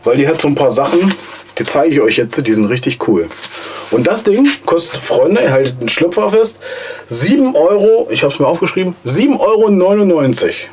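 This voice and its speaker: A man in his forties, hoarse from a cold, casually and enthusiastically reviewing gear in a basement vlog. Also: on the high side, animated, normal speed, crowd noise. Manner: enthusiastic, chatty, slangy. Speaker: outdoor gear channel host. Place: cluttered basement storage room, indoors. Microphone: camera mic from a few steps away.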